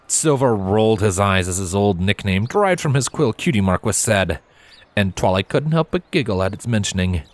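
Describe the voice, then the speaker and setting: A man reading a story aloud as narrator, in an expressive scripted storytelling style. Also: lowish voice.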